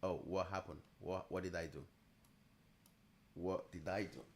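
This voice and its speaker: A man with a mixed Nigerian and Italian accent, chatting casually and thinking aloud on a live trading stream, easygoing and quick to laugh. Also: low voice, fairly even intonation, creaky. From Nigeria, raised partly in Italy. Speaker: trading livestream host. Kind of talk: casual live-stream chat answering viewers.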